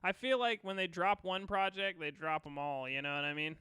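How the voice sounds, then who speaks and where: A man's voice: high voice, steady tone, a little fast, bright, forceful tone, smooth, no room sound. A man co-hosting a podcast, chatting casually in a slangy way with some swearing. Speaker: podcast co-host. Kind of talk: casual chat.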